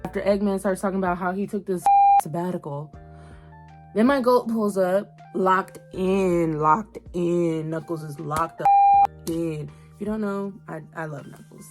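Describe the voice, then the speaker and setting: Animated young woman with slangy delivery. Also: on the low side, measured pace. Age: young adult. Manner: animated, slangy, emphatic repetition. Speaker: YouTube host reviewing an animated series. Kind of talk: casual commentary recap. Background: background music.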